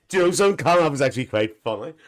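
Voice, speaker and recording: A loud, bawdy aunty holds court on a live stream, voiced by a man. The voice sits fairly high and leaps up and down with lively, sing-song swings. She gossips and teases her viewers, calling everyone dear. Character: gossipy older woman, a brash chatty aunty. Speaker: livestream host. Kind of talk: live-stream comic chat reading comments.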